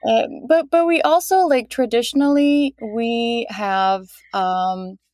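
A woman from Egypt speaking casually as a podcast guest, in halting, hesitant phrases. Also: on the high side, darkish tone, no room sound, studio quality. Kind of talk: casual interview talk.

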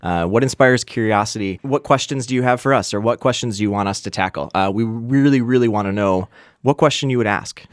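Guy hosting a podcast, fast talker, friendly, lots of uhs, dry studio sound. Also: mid-range voice, no room sound. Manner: friendly, with frequent uh fillers. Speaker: podcast co-host. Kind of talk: casual conversational podcast.

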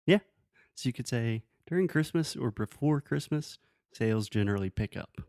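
A man's voice: mid-range voice, lively intonation, normal speed, darkish tone, dry recording, studio quality. A man who is a native English speaker, teaching in a casual conversational way with everyday examples and checking that the listener follows. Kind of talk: casual conversational language lesson.

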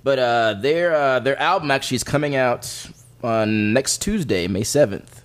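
A man's voice: mid-range voice, moderate pace, clear, resonant tone. A man co-hosting a podcast, chatting casually about music in a rambling, opinionated way with crude humour. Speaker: podcast co-host presenting music picks.

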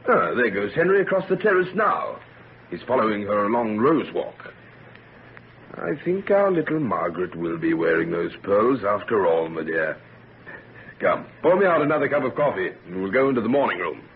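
A narrow, slightly noisy vintage radio recording carries a genteel middle-aged husband. His voice sits low and moves expressively, with a careful, discreet, proper manner. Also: big pitch swings, moderate pace. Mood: genial. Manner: hesitant, tactful, proper. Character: genteel, discreet, fatherly husband of older generation. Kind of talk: old-time radio drama acting.

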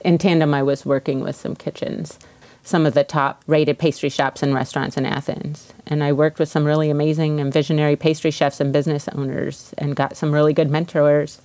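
This is a woman with a distinctly low-pitched voice. She talks casually and informally, like an interview guest recounting her own story. The sound is dry, with hardly any room echo. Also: little pitch movement, fairly quick, a little mellow. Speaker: interview guest, baker and business owner.

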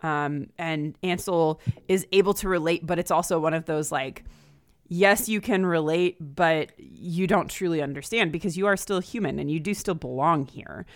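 A woman's voice: low voice, moderate pace. A woman co-hosting a casual book discussion, talking conversationally and acting out imagined quotes to make her points. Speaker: podcast co-host discussing a graphic novel.

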